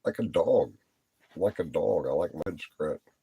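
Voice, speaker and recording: A man's voice: sits low, lively intonation, a little slow, gravelly, warm tone, breathy edge, no room sound. A tired man rambling and swearing on a late-night live stream, lying down as he talks and repeating himself. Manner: talking while lying down.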